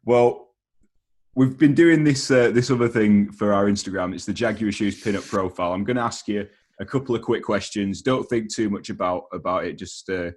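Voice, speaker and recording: A man's voice: lowish voice, moderate pace. A man with a British accent interviewing in a casual, easygoing, slangy way.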